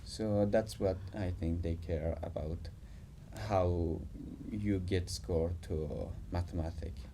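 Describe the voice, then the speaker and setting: A man's voice: deep voice, steady tone, slow pace, warm tone, a little hiss or hum. A man interviewing a guest in non-native English, asking questions with frequent filler words. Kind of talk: casual interview talk.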